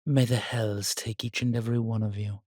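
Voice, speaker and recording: Guy doing a suave menacing vampire for roleplay audio, flirty n possessive, lowish slightly husky voice, takes his time. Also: little pitch movement, a little mellow, dry recording, clean studio sound, lightly acted. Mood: mocking, threatening. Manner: slow, teasing, theatrical, speaks in endearments. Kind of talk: roleplay audio acting addressed to the listener.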